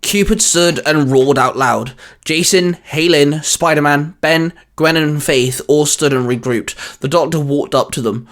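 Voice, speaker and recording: A man narrating a fan fiction story in an even, measured reading style. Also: fairly high pitch.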